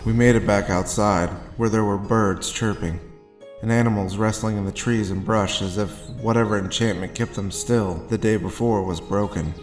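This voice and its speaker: Male actor as hard-boiled adventurer, low voice, dramatic narration, audio drama, long reverb. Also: steady tone, moderate pace, soft background music, natural voice. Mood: reflective.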